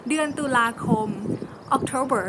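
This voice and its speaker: A woman teaching Thai words and sentences, adding relaxed, chatty explanations in English with fillers. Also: rapid-fire. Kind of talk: vocabulary lesson with casual explanations. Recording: loud vehicle rumble behind.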